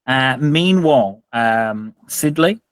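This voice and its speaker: A man with a medium-pitched voice runs a tabletop game as game master. His narration is improvised, and the recording is close and dry. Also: animated, slow, clear, resonant tone, dry recording.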